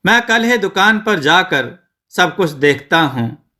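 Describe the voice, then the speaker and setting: A man's voice: high voice, very animated, slow, sharp tone, heavily acted. A man voicing a dutiful, educated young son in a moral story, speaking politely and with earnest resolve. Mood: resolute, concerned. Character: dutiful educated young son taking over family shop.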